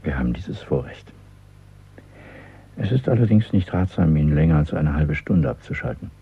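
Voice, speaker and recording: Tiefer, knarziger, mächtiger Parteimann. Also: male voice, very deep voice, animated, heavily acted. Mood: controlled, probing. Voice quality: gravelly. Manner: measured, probing, terse. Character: calm, commanding, privileged inner-party man, inscrutable. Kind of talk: radio drama dialogue. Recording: narrow, muffled old radio drama recording.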